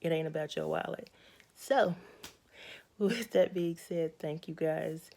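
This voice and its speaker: A young adult woman with a raspy, hoarse voice, giving a candid, matter-of-fact personal health update to her viewers. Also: low voice, takes it slowly, dark tone. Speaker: vlogger.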